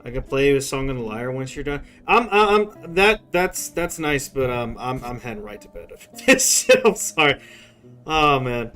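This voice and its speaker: A man's voice: mid-range voice, expressive, moderate pace, a bit bright. An American man chatting casually and in slang while live-streaming a game. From United States. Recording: game music behind the voice.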